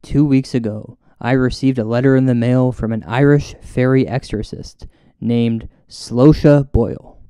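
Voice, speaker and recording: A man hosting and narrating a spooky comedy as a paranormal investigator, mock-serious and deliberate in his storytelling. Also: mid-range voice, fairly even intonation, mellow, smooth, no echo, clean studio sound. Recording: music bed under narration. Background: music.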